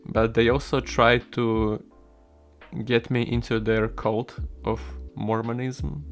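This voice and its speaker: Russian-native young man, slow easygoing stream chatter, mid-pitched, profane and rambling. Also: little pitch movement, soft background music. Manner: laid-back, rambling, swears casually. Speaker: solo game developer streaming. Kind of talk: casual chat with stream viewers while coding.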